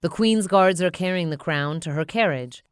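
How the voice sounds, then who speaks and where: A woman reads a children's story aloud with expression. Her voice is fairly low, smooth and crisply articulated, in a dry, close recording. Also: moderate pace, no echo. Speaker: storybook narrator.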